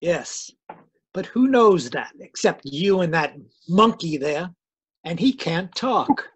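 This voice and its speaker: Husky, high-pitched calculating villain. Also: male voice, lively intonation, slow, full character voice. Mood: smug menace. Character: cold, calculating male murderer, smugly menacing. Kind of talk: 1940s radio crime drama acting.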